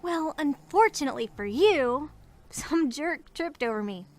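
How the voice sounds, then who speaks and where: A woman's voice: sits high, animated, measured pace, darkish tone. A woman playing a playful, teasing, mock-bossy young survivor in a fan audio drama. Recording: clear background noise, ambience.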